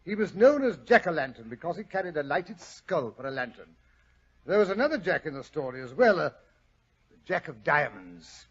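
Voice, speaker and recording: Excitable, proper British gentleman companion, higher-pitched and husky, vintage radio drama. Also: moderate pace, full character voice. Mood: genial. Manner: blustering, exclamatory, proper. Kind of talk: 1940s radio acting. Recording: muffled 1940s radio broadcast.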